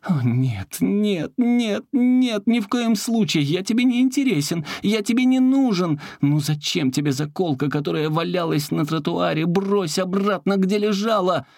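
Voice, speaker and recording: A man's voice: sing-song, moderate pace, darkish tone, husky, no room sound, studio quality, exaggerated voice. A man doing a frantic enchanted hairpin. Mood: panicked shrieking. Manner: chatty, then shrill and panicky. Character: enchanted man trapped in a hairpin, fussy and talkative.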